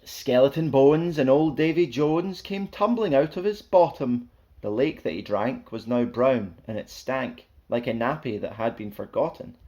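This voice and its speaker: A man's voice: mid-range voice, measured pace, dark tone, dull audio. A man reading a rhyming children's picture book aloud as a storyteller, in a playful, theatrical, sing-song way.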